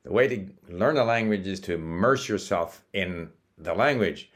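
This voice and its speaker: An older man, born in Sweden and raised in Canada, hosts a language-learning video and explains things calmly, with small hesitations and restarts. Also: low voice, normal speed. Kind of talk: casual talking-to-camera monologue.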